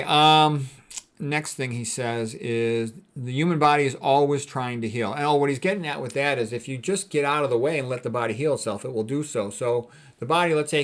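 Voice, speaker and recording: A man speaking as a doctor in an informal lecture, reading out quotes and commenting on them in a plain-spoken, didactic way with short emphatic phrases. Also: medium pitch. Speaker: physician giving health commentary.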